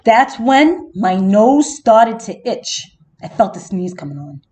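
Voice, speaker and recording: A woman's voice: medium pitch, sing-song, takes it slowly, darkish tone, pitched below the performer's own voice. A woman voicing a self-justifying, slyly innocent male wolf who tells his side of a children's story with chatty, dramatic indignation. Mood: confiding. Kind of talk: first-person children's storybook narration.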